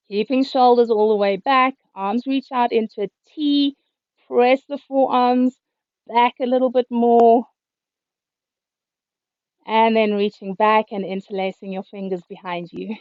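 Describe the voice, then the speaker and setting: A woman teaches a yoga class in a calm, steady voice that is slightly high and a little hoarse. She cues each breath and movement as she talks. The sound is dry and dull, coming through a video call. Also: takes it slowly, long pauses, no echo. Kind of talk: guided yoga instruction. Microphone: computer mic on a video call.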